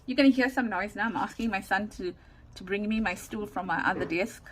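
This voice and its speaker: A woman giving a casual, chatty craft demonstration, thinking aloud in a rambling way with a loud, carrying voice, recorded without a separate microphone. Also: mid-range voice, normal speed. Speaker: craft tutorial host. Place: indoors at a home craft desk. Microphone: camera or phone without separate microphone. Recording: noisy room, no microphone.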